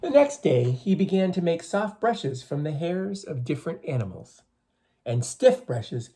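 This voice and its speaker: A reader with a slightly husky voice, reading a picture book aloud to young children and explaining things as they go. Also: mid-range voice, sing-song, a little slow, warm tone. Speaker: storybook reader for schoolchildren.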